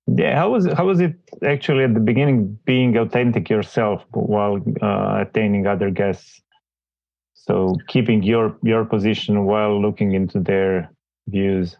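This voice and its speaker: A man's voice: fairly low pitch, steady tone, normal speed, gravelly, dry recording. A man interviewing his mentor, speaking hesitantly and repeating words as he searches for his phrasing. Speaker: guest interviewer, mentee. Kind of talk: casual interview. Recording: dry, slightly dull recording.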